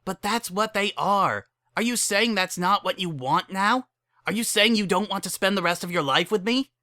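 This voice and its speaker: A woman's voice: medium pitch, moderate pace, bright, forceful tone, no echo, studio quality, voice pushed up, natural voice. A woman voicing an angry, heartbroken young female pony in a dramatic story reading, impassioned and pleading. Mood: hurt, angry.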